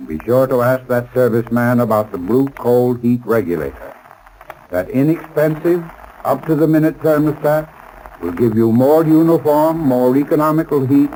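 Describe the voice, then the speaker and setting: A man's voice: medium pitch, dark tone, slight background noise, poor audio. An American man giving a scripted 1930s radio advertisement as a sponsor's expert spokesman.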